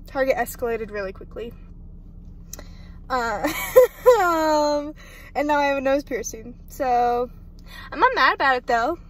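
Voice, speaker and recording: A woman's voice: sits high, animated, measured pace, pauses often. An eighteen-year-old woman vlogging casually from a parked car, chatty and self-mocking, swearing freely. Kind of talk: casual vlog chat. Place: parked car at night, indoors. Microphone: phone camera held or propped close.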